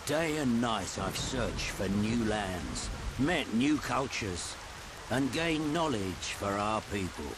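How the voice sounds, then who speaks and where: An actor with a British accent playing a wry, roguish middle-aged travelling trader in a video game, with theatrical delivery. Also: male voice, fairly low pitch, slow pace. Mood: wry, mock-wounded. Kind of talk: video game cutscene voice acting. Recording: steady rain-like noise behind dialogue.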